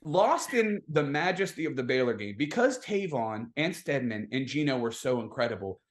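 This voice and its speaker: Mid-pitched American man telling sports anecdotes. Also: big pitch swings, normal speed. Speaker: podcast guest, team insider. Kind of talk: casual sports chat and anecdotes.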